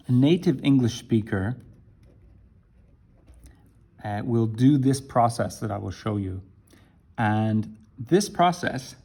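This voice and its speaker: A man teaching an English lesson to camera, talking slowly and deliberately and checking in with "right?". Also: mid-range voice, warm tone, slightly rough. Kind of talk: explaining a language lesson to camera.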